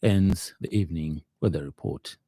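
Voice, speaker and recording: A man's voice: extremely low pitch, normal speed, mellow, soft background music. A man with a Zambian accent presenting a scripted radio news bulletin from a studio.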